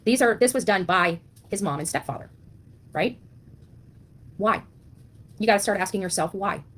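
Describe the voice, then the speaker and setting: A woman's voice: medium pitch, expressive, moderate pace, fairly bright tone. A woman delivering an impassioned monologue as an online commentator, blunt and indignant, leaning on rhetorical questions and emphatic repetition. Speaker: online commentator on a missing-child case.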